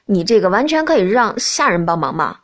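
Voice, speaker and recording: A woman's voice: mid-range voice, sing-song, brisk, voice pushed down. A woman voicing a flustered young princess who lives in a prince's body, hesitant and protesting, in an audiobook. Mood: resigned. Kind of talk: audiobook character dialogue.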